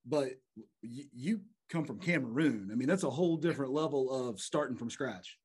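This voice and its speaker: A man's voice: medium pitch, expressive, normal speed, a little mellow, husky. A man hosting a business podcast, enthusiastic as he introduces guests and leads the questions. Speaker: podcast host.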